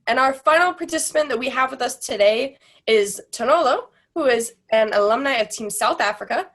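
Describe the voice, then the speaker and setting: A woman's voice: high-pitched, expressive, brisk, a bit bright. A woman moderating an online panel over a video call, reading the guest introductions in an upbeat way.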